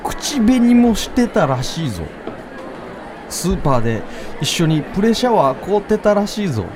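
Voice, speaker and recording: A man's voice: high-pitched, big pitch swings, normal speed, crowd noise, background noise. A man playing an earnest schoolboy gossiping in an in a radio skit. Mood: gossipy, urgent. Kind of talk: amateur radio drama acting.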